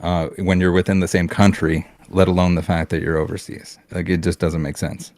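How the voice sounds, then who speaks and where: A man's voice: low voice, little pitch movement, brisk, darkish tone, no room sound, studio quality. A man from Canada on a casual podcast, ranting bluntly with swearing, restarts and fillers. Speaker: podcast co-host.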